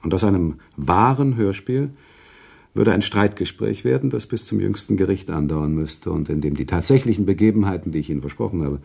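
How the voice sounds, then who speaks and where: An actor playing an earnest, morally indignant author in a German radio play, speaking in formal, eloquent and reproachful sentences. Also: male voice, low-pitched, expressive, fairly quick, vocal fry, darkish tone, slightly rough, heavily acted. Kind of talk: German radio play dialogue, literary storytelling.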